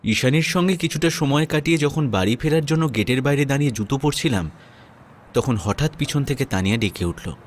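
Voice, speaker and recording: A man narrating a first-person audio story and acting its dialogue, in a measured, earnest way. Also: medium pitch, lively intonation, slight background noise. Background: new-age music.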